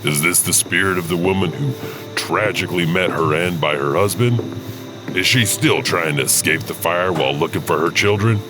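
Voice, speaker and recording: A man's voice: low voice, moderate pace, over music. A man narrating spooky scripted stories as host, with a theatrical delivery.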